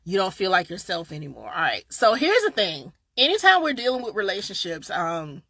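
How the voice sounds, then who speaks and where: Southern woman grief coach live-streaming, bright and dry, loud bursts then soft asides, a bit tired. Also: medium pitch, fairly quick, no echo. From Southern United States. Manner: chatty and expressive, swinging from loud bursts to quiet asides. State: a little tired. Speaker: grief coach and podcast host. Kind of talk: casual live-stream chat answering viewers.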